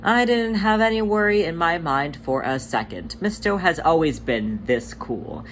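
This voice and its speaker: Reader doing a laid-back protective tomcat big bro, teasing n soft, storybook style, really animated, bit of hiss behind. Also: mid-range voice, a little slow, voice pushed up. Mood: playful, teasing. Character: playful, protective, laid-back male cat, big brother.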